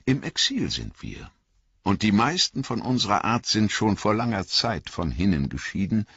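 A man voicing an ancient, gracious elf lord who speaks slowly and solemnly. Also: on the low side, big pitch swings, a bit bright, breathy edge, dry recording, muffled recording, voice pushed up, heavily acted. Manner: slow, solemn and stately.

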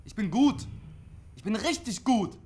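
A man's voice: high voice, animated, a little slow, a little mellow. A lonely CB radio dreamer in his twenties in a radio play. He is defiant and insistent, forever talking himself up. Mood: boastful, defiant. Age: 20s. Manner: boastful, insistent. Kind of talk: radio drama acting.

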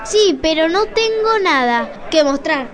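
A woman's voice: very high-pitched, lively intonation, unhurried. A woman playing an exasperated, stubborn lady shopper who protests indignantly in an amateur radio play.

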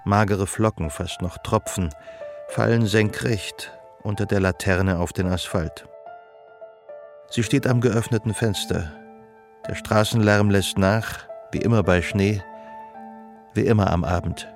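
An actor playing the sober narrator of a melancholy love story in a literary radio play. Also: male voice, on the low side, steady tone, unhurried, soft background music. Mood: reflective, sober.

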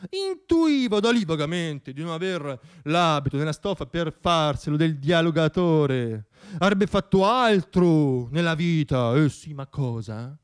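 A man performing a theatrical radio monologue, telling stories in a wry, dramatic way with deliberate pauses. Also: on the high side, lively intonation, clean tone.

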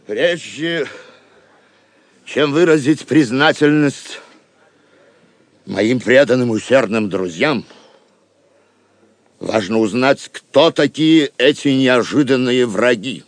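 A proud warrior king from an audio drama, declaims in a stately, theatrical way. He speaks slowly, with wide swings of pitch in a dry recording, stern and commanding yet magnanimous. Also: expressive, no room sound.

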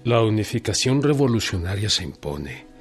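An actor playing a brusque, brooding revolutionary general with a Mexican accent in a radio drama, curt and commanding. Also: male voice, lowish voice, sing-song, moderate pace, soft background music. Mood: solemn, resolute. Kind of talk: Spanish-language radio drama acting.

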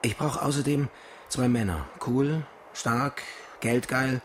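Brief: male actor as hard-boiled, cool ex-con thief in radio crime drama; medium pitch, terse, clear background noise. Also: takes it slowly, lightly acted. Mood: cool, businesslike. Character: cool, hard-boiled ex-convict car thief planning a robbery.

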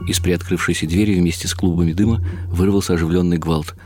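Man acting elderly husband narrator, very low pitch, wry, reflective, clipped phrasing, radio drama. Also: steady tone, quick pace, darkish tone, soft background music, close to an everyday voice. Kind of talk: dramatised first-person narration.

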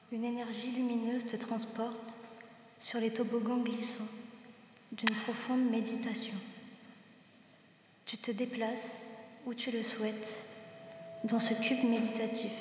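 Slow young woman in a cavernous echo. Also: fairly high pitch, darkish tone. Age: young adult. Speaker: student author reading her text. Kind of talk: poetry recitation. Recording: very echoey hall, faint noise.

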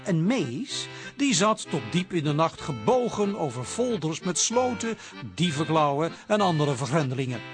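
An actor playing a wry storyteller, narrating the close of a radio comedy episode. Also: male voice, on the high side, sing-song, normal speed. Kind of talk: scripted narration. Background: guitar music.

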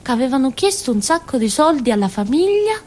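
A fairly high female voice with a southern Italian, Calabrian accent as the village simpleton. She is naive and stubborn, telling her story in a theatrical monologue. Her talk is childlike and colloquial, rambling along with little interjections. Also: very animated, a little fast, darkish tone. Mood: animated, wondering.

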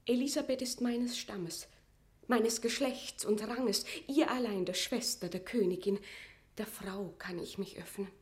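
A woman's voice: medium pitch, measured pace, a little mellow, close to an everyday voice. An actress playing a proud, aggrieved imprisoned queen, dignified and imperious, with formal declamatory diction in a classical German verse radio drama. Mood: proud, pleading.

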